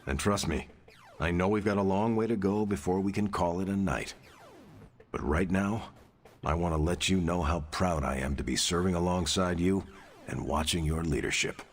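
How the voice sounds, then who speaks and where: An actor playing a sincere, steadfast soldier hero in a video game, speaking in an encouraging way. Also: male voice, low-pitched, normal speed. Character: steadfast soldier hero, leader type, warm and proud. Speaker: game character. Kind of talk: video game cutscene acting.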